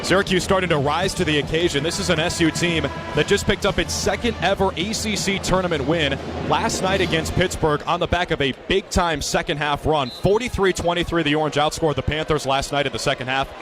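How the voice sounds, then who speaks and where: A man in his twenties doing live sports commentary and reporting in a projected, energetic, detailed announcer style. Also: fairly high pitch, brisk, over music. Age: 20s.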